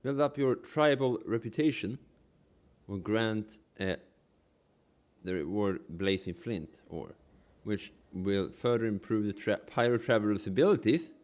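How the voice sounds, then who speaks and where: A man's voice: fairly low pitch, lively intonation, measured pace, darkish tone. A man with a cold streaming a game, coughing and sniffling, talking half to himself and reading the game text aloud. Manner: mumbly, half to himself, sing-song repeats.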